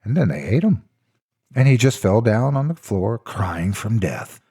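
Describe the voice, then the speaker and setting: A man's voice: on the low side, very animated, a little slow, mellow, breathy edge. A man narrating a scripted story in a theatrical, mock-serious storytelling style. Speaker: narrator of horror stories.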